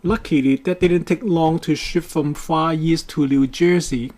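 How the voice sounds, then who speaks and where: Male how-to narrator, mellow tone, unhurried and careful delivery. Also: a little high, fairly even intonation. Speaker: DIY boat project presenter.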